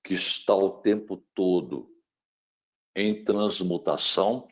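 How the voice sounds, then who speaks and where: Middle-aged Brazilian male lecturer; dramatic webinar delivery with rhetorical questions and sharp shifts between loud and hushed. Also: sits low, takes it slowly. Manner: asks the listener rhetorical questions. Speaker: speaker on mental wellbeing.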